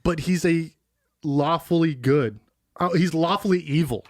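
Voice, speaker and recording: A man's voice: on the high side, a little slow, dry recording, studio quality. A man co-hosting a podcast, reviewing a movie in rambling, joking casual chat. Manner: rambling, jokey, filler-heavy. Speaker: podcast co-host.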